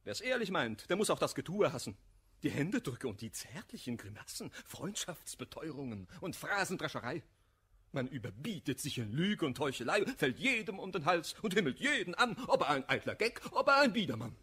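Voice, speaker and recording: An actor playing an embittered, hot-headed misanthrope in a classical German radio play, declaiming vehemently in rhymed verse. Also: male voice, a little high, very animated, fairly quick, bright, forceful tone, breathy edge, heavily acted. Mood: indignant. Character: embittered, hot-headed male misanthrope railing against hypocrisy.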